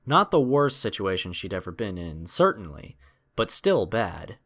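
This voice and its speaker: A man's voice: lowish voice, very animated, unhurried, a bit bright. A man narrating a scripted adventure story in a dramatic storytelling style.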